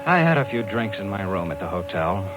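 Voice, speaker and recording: Animated, determined American talent agent. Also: male voice, on the low side, a little fast, loud music behind the voice, poor audio. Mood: hard-boiled narration. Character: determined, brisk New York talent agent man, narrator. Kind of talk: 1950s radio drama acting and narration. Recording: hissy old radio recording.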